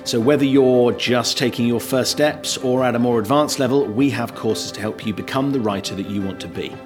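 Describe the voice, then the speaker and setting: Man, podcast host, scripted read, clear guitar music beneath the voice. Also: medium pitch, normal speed. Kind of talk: scripted announcements. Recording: guitar music playing underneath.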